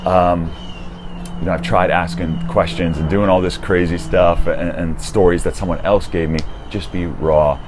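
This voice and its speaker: A young man with a very low, deep voice talks straight to the viewer, lively and loose, throwing in "dude" and the odd swear word. He sounds outdoors, with faint traffic and city noise behind him. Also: moderate pace. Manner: energetic, swears casually, says "dude" and "right?" often. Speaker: dating coach. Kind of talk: casual direct-to-camera advice.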